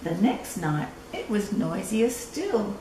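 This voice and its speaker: An older woman reading a picture book aloud to children, deliberate and drawn-out, with little asides. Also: medium pitch, big pitch swings. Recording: echoey room, distant mic, faint noise.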